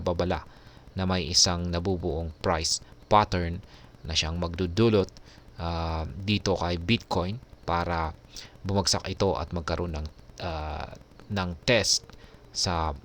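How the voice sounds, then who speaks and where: A man with a Filipino accent presenting a news explainer as a vlogger, speaking politely and haltingly as he moves between reading out and commenting. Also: sits low, a bit bright, a little hiss or hum. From Philippines. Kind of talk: news explainer, alternating read-out article and commentary.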